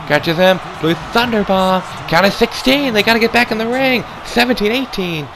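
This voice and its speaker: A man doing hoarse, excitable play-by-play commentary on a wrestling match, calling moves and near-falls. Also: sits high, moderate pace, noisy background. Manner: excitable, calls moves and counts.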